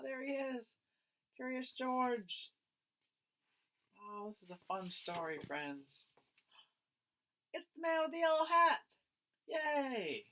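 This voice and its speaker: A man, a teacher, reading a picture book aloud to young children in an expressive storytelling style. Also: extremely high pitch. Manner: expressive, slow, addressing listeners as friends.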